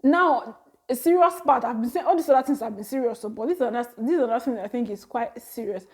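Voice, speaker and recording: High-voiced Nigerian woman in her thirties. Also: fast talker. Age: 30s. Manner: chatty, laughs often, animated exclamations. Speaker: vlogger. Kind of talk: casual talk to camera.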